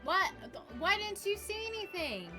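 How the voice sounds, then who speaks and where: A woman's voice: very high-pitched, sing-song, a little slow. A woman game streamer giving casual live commentary while she plays. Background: game music.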